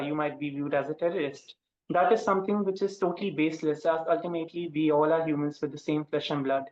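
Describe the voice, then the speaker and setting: An interviewer asking formal, polite questions and addressing the guest as ma'am. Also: mid-range voice. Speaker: interviewer host. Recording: roomy, dull lo-fi audio.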